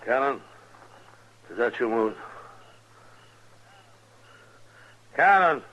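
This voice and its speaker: An actor playing a steadfast frontier marshal, wounded, weak and strained, in a 1950s radio western. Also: male voice, medium pitch, lively intonation, moderate pace, fairly bright tone, muffled recording, lightly acted. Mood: strained, gruff. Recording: faintly noisy 1950s radio recording.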